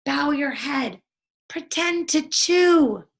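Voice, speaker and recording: An anxious young boy from a storybook, acted out by a woman, protective and bossy as an older brother. He speaks high and slowly, with urgent, emphatic commands. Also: lively intonation, gravelly, heavily acted. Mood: urgent, commanding.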